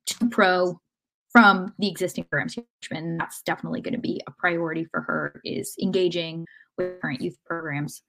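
A woman's voice: lowish voice, steady tone, brisk, creaky, fairly bright tone, poor audio. A woman co-hosting a sports podcast, talking casually in an articulate, explanatory way. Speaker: podcast co-host and interviewer.

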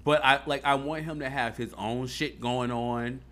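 A man's voice: medium pitch, fairly even intonation, normal speed, fairly bright tone. A man in casual chat, talking earnestly and rambling, with fillers and swearing.

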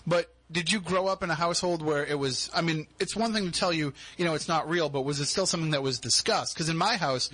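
A man's voice: a little high, quick pace, hardly any pauses, fairly bright tone, dry recording. A male podcast host in a studio, asking long, reflective questions of a guest. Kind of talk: interviewing a guest.

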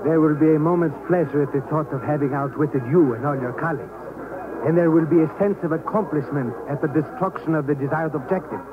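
An actor playing a coldly polite villain in a radio thriller, formal, precise and smug, on an old recording. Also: male voice, a little high, quick pace, background noise, lightly acted. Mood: smug, amused. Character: coldly polite, pale-eyed foreign bomber villain. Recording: tinny, phone-like vintage radio recording.

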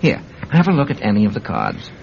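An actor playing a suave, sinister Soviet spy in a radio drama, with a cultured English accent and a polite, insinuating manner. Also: male voice, on the low side, wildly swooping pitch, fairly quick, vocal fry, full character voice. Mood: apologetic, polite. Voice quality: cultured, well-educated voice. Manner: polite, insinuating, quietly menacing. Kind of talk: old-time radio drama acting. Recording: dull, noisy old radio recording.

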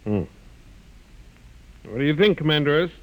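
An actor playing an authoritative ship's captain in a classic radio drama, formal, judicious and deliberate. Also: male voice, on the high side, lively intonation, a little hiss or hum, close to an everyday voice, low-quality recording.

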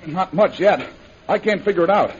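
A brave American adventurer in an old radio serial. He is urgent and protective, speaking in the earnest manner of 1930s drama. The sound is dull and low-fidelity. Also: animated, normal speed, slight background noise. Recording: muffled vintage 1930s radio recording.

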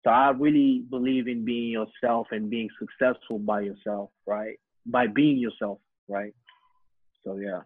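A man's voice: medium pitch, fairly even intonation, a little slow, husky. A young man from Senegal talks about his music career. He speaks hesitantly, with lots of "uh" and "you know" as he thinks. His voice comes through a narrow, phone-like remote line with no room echo. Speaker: music producer, guest. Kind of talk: relaxed interview answers.

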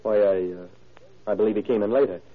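An actor playing a murdered man's young son, halting, plainspoken and slightly uneasy, in a 1940s radio drama. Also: fairly low pitch, fairly even intonation, close to an everyday voice. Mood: hesitant. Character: hesitant, cooperative young son of a murdered man. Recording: narrow, muffled old radio recording.

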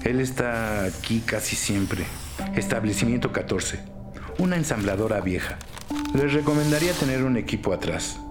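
A man playing a smug, playful imprisoned crime boss in an audio drama, mockingly polite and unhurried. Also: medium pitch, lightly acted. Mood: amused, sly. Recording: music bed under the voice.

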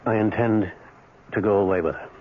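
An actor playing a stubborn, evasive middle-aged husband in a 1970s radio drama, halting and insistent in his delivery. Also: fairly low pitch, lightly acted. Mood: hesitant confession. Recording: very noisy, tinny old radio broadcast.

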